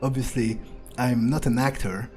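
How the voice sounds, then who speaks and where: A man who is not a native English speaker, giving a casual, rambling out-of-character recap of his game playthrough with frequent restarts and pauses. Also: mid-range voice, steady tone, a little mellow. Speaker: gamer, channel host. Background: guitar music.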